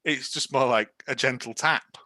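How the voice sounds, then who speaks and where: A man's voice: mid-range voice, normal speed, pushed and bright. A British man co-hosting a podcast, giving casual, joking and sardonic commentary on a film. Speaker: podcast co-host.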